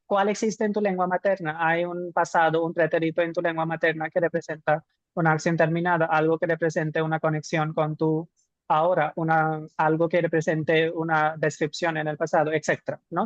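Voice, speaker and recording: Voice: medium pitch, no echo. Teacher on a dull call. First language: Hindi. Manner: explanatory, poses rhetorical questions. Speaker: Spanish teacher. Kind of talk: live online teaching discussion. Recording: dull dry lo-fi call audio.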